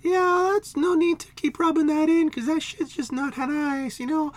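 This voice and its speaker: A man voicing a tiny, squeaky-voiced male creature who talks nonstop in slangy, rambling bursts. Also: fairly even intonation, normal speed. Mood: whiny. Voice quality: squeaky, high and nasal.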